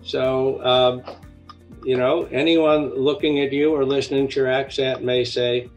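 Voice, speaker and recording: An older man hosts a conversational interview over a video call, pausing often as he phrases things. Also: mid-range voice, little pitch movement, faint music behind, low-quality recording. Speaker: podcast host. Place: indoor home room, video call. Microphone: headset on a video call.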